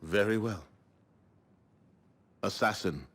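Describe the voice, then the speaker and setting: Solemn, husky assassin elder. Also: male voice, fairly low pitch, fairly bright tone, heavily acted. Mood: solemn, commanding. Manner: formal, measured, authoritative. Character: solemn senior leader of an assassin order. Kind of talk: dramatic game voice acting.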